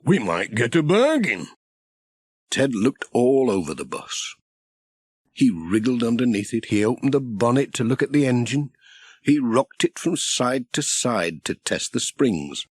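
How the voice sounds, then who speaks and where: A British man narrates a children's story from a script. His delivery is animated, with a slightly husky tone, and the sound is dry and close. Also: mid-range voice, unhurried, no echo.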